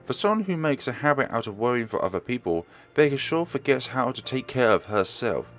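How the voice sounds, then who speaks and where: A man's voice: mid-range voice, big pitch swings, fairly quick, fairly bright tone, soft background music. A man doing a let's play, reading a visual novel aloud and stumbling over words before correcting himself. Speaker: let's player.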